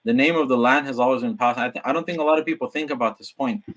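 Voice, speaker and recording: A man adding points in a casual discussion, talking haltingly with restarts. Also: medium pitch, a bit bright.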